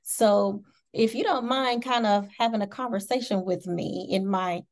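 A woman's voice: medium pitch, fairly even intonation. Friendly Midwestern American woman host. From Indiana, USA. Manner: friendly, quick questions, laughs easily. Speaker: talk show host. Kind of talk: casual interview chat.

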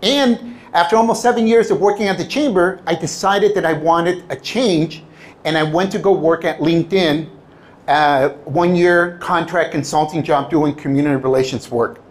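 A middle-aged man, a native Spanish speaker from Southern California, giving a personal motivational talk to an audience in animated storytelling style, acting out quoted lines. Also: on the high side, moderate pace. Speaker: public speaker.

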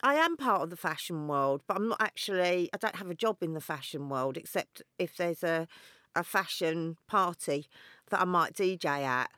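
A woman's voice: on the low side, expressive, moderate pace, bright, forceful tone, no room sound. Laid-back woman, giggly and dry. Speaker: guest, DJ and model. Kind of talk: casual chat interview.

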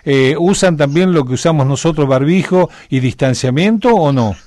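A man's voice: fairly high pitch, lively intonation, normal speed, a little mellow, a little hiss or hum. A man with an Argentine Spanish accent interviewing a guest, asking patient questions and answering with short affirmations. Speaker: radio interviewer.

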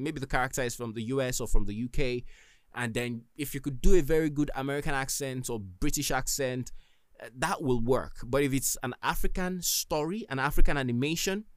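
A man's voice: medium pitch, normal speed, no room sound, clean studio sound. Nigerian man giving earnest podcast advice. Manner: earnest, advising, emphatic. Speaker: podcast host. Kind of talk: solo podcast talk.

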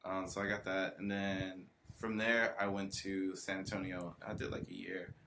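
A man's voice: low voice, flat delivery, normal speed, background noise. A young man from the US South, a podcast guest, telling stories in a laid-back way with lots of fillers. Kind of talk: casual chat.

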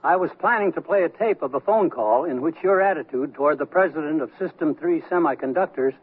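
A man's voice: a little high, a little fast, husky. A man acting in a radio comedy as a blunt, sardonic business consultant who lectures executives. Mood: brisk, reproachful. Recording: tinny band-limited old radio broadcast.

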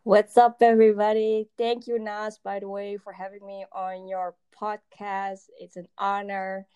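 A woman's voice: mid-range voice, level tone, measured pace, mellow, clear, resonant tone, dry recording, muffled recording. A young woman from the Netherlands with a Dutch accent, chatting as a podcast guest, hesitant with many ums and frequent laughs. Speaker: podcast guest, software developer. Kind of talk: casual interview chat.

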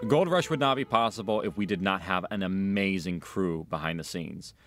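A man's voice: deep voice, normal speed, fairly bright tone. A man speaking as an audio drama creator in a documentary interview. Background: music.

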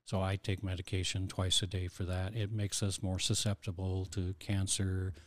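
Older guy, low monotone voice, explains stuff slow with lots of ums, close dry mic. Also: dry recording. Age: 60s. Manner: explanatory, measured, with many ums. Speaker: heart transplant recipient guest. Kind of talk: casual interview talk.

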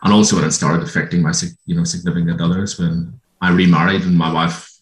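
An Irish man, a guest being interviewed, who tells his own story in a reflective way and pauses often with ums. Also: deep voice, steady tone. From Ireland. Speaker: guest, former gambling addict. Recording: roomy echo.

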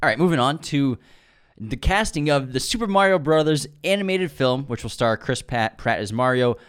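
A man co-hosting a movie news podcast, chatting casually and excitedly, with fillers, restarts and quick laughs. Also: mid-range voice, clean tone. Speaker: podcast co-host.

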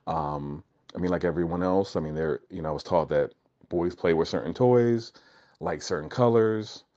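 Man, very low voice, hesitant storytelling, many ums, dull lo-fi recording. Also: moderate pace, warm tone. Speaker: guest. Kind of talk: casual personal storytelling.

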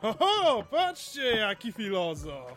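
A teasing middle-aged dad, high voice, slow delivery, exclamatory, folksy, audio drama. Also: male voice, huge pitch swings, fairly bright tone, faint music behind, heavily acted. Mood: jovial. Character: hearty, gruffly teasing father at a family party. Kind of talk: Polish audio drama dialogue.

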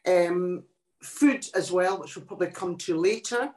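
An older woman, a poet, talking about her life in a relaxed interview, thoughtful and pausing often. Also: fairly low pitch. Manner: reflective, thoughtful, with many pauses and restarts. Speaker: guest poet. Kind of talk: casual interview chat. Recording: echoey room.

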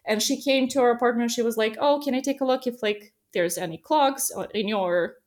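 Woman, Serbian accent, casual podcast co-host, animated anecdotes. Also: fairly high pitch, brisk. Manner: animated storyteller, laughs often, acts out quotes. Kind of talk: casual conversational chat. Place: lives in a small Dutch town.

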